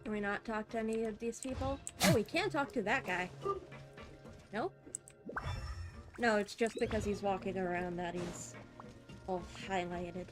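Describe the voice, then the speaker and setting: A woman streaming a video game, reading text aloud and commenting in an expressive, emotionally reactive way. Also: medium pitch, unhurried, long pauses. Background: faint game music.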